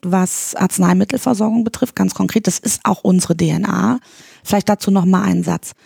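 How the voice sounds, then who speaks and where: A woman in her forties from Berlin speaks as a guest on an interview podcast, her voice husky and gravelly with a dark, mellow tone. She talks in a measured way, pausing and searching for words. The sound is dry and close. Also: mid-range voice, no echo. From East Berlin, Germany. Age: 40s. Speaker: candidate for pharmacists' chamber, guest.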